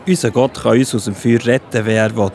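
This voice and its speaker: A man's voice: mid-range voice, steady tone, a little fast, darkish tone, some chatter behind, a little hiss or hum, natural voice. An actor playing a bold, unshakeable, devout young man in a children's audio play, emphatic. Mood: defiant.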